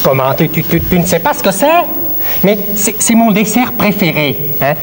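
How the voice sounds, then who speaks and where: A man's voice: high voice, sing-song, normal speed. A friendly dinosaur puppet for children's television. He stammers with childlike enthusiasm, his voice ringing in long reverb over slight noise. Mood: enthusiastic surprise. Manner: stammering, exclamatory. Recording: very reverberant, faint background noise.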